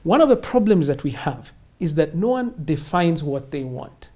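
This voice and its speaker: A woman's voice: low-pitched, sing-song, moderate pace, dark tone. A woman speaks as a finance instructor in conversation. She explains step by step with emphasis, setting up questions and then answering them. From Kenya or wider Africa. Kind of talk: explanatory interview talk.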